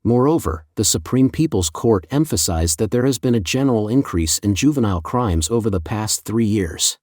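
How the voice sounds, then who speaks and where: A man narrating scripted news in a formal, measured, read-aloud manner. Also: on the low side, lively intonation, darkish tone, no echo, studio quality.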